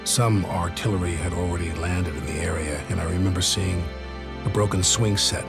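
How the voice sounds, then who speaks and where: A man playing a weary army officer who recounts a war in measured, reflective game narration. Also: low voice, vocal fry. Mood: somber reflection. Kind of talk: scripted narration. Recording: loud music under the voice. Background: loud cinematic music.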